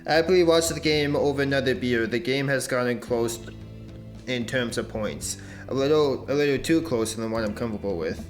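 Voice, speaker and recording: A man's voice: mid-range voice, fairly quick. A young man narrating a dating-sim playthrough with friends, reading the game text aloud and laughing often. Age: young adult. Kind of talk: casual let's-play narration. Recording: light background music.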